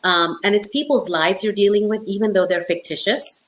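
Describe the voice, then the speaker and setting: A woman's voice: mid-range voice, a little fast, slight background noise. A middle-aged woman from Hong Kong, an author talking as an interview guest in an emphatic, conversational storytelling way. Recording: roomy, echoey sound.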